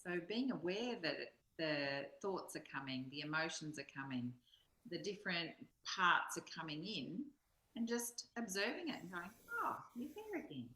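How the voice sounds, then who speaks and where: A woman's voice: lowish voice, big pitch swings, normal speed, bright, forceful tone. A woman in a reflective spiritual discussion, thinking aloud tentatively, recorded with a roomy echo. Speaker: co-reader and discussion partner. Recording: roomy, echoing sound.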